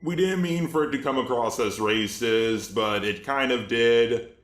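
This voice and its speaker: A man's voice: mid-range voice, measured pace, fairly bright tone, reverberant. A man hosting scripted commentary in a synthetic-sounding voice, argumentative and sarcastic. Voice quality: synthetic robot voice. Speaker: video host. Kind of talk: scripted commentary on online drama.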